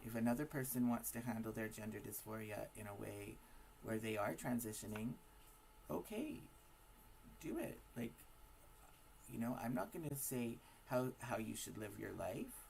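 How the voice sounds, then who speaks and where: A hoarse man with a raspy voice, giving a casual, emphatic opinion monologue to camera full of rhetorical questions. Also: medium pitch, unhurried, long pauses, darkish tone, slight background noise. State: hoarse from smoking and partying. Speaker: vlogger.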